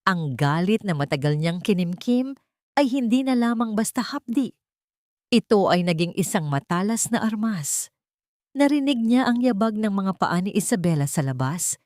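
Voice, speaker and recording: A woman narrates a scripted dramatic audiobook. Her voice sits a little low for a woman, and the sound is dry, with hardly any room on it. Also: darkish tone, dry recording.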